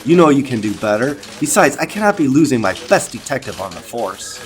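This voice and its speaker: An actor playing a commanding 1920s police captain, stern, formal and authoritative. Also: male voice, medium pitch, very animated, fairly quick, background noise, lightly acted. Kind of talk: comic dub character acting.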